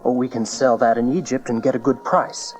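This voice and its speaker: A man's voice: medium pitch, steady tone, brisk, a little mellow, lightly acted. A man playing an earnest, protective husband and father in a dramatized audio Bible, plain-spoken and urgent. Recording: dull cassette, music behind.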